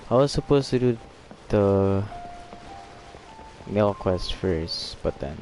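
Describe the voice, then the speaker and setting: A man giving casual gameplay commentary as a streamer, halting and half mumbling to himself. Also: lowish voice, animated, darkish tone, soft background music, poor audio. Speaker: game streamer.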